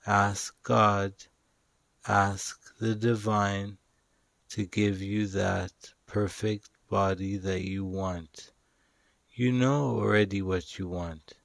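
A man leading a guided meditation in a calm, soothing, instructional manner with long pauses. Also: on the low side, creaky, dry recording. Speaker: meditation guide and show host.

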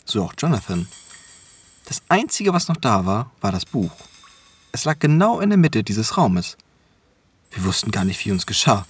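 An actor in a German audio drama playing a grave, guilt-ridden middle-aged man who tells his story in halting, ominous phrases. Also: fairly low pitch, sing-song, slightly rough. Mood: haunted, dramatic.

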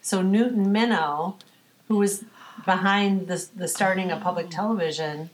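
A woman's voice: lowish voice, normal speed, slight background noise, rough recording. A middle-aged woman chatting casually as a podcast guest, conversational and self-deprecating. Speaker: podcast guest and quiz contestant. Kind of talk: casual chat.

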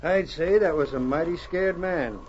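Man playing a higher-voiced folksy frontier newsman, old radio. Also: normal speed, darkish tone, lightly acted. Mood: puzzled, serious. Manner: folksy, wry small-town talk. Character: folksy, inquisitive male frontier newspaper editor. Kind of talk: 1940s radio drama acting. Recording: muffled, noisy old radio recording.

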